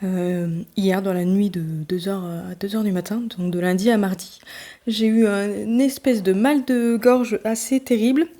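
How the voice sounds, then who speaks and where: A woman talking casually to her viewers about her reading, her voice mellow and warm. She rambles, restarting her sentences and filling gaps with small hesitations, and sounds stuffy and worn out from a cold. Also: mid-range voice, steady tone, normal speed. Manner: chatty, many fillers and restarts. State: congested with a summer cold, tired. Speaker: book vlogger. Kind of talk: casual vlog chat.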